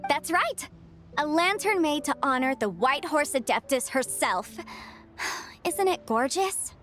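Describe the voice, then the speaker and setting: A woman's voice: high-pitched, lively intonation, takes it slowly, exaggerated voice. A woman playing a bubbly, curious, chatty young woman in a video game, speaking with enthusiasm. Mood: delighted, curious. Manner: bright-eyed, enthusiastic, conversational. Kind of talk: video game character acting. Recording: faint game music behind the voice.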